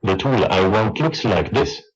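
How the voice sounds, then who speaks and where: An actor playing a gruff, quick-tempered working man in a children's audio story. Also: lowish voice, expressive, dull audio. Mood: angry, exasperated. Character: gruff, quick-tempered man, an angry professor or grumbling farrier.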